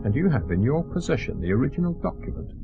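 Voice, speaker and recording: A British actor playing a brusque, dogged intelligence officer in a radio drama, clipped, commanding and wearily exasperated. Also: male voice, medium pitch, sing-song, fast talker, narrow, tinny audio, music in the background. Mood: incredulous.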